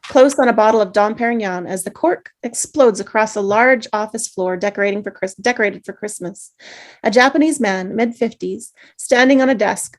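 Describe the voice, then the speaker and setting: A woman reads scene and action lines aloud at a remote table read. Her pitch is middling and her reading steady and measured, heard through a video call. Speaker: narrator reading stage directions.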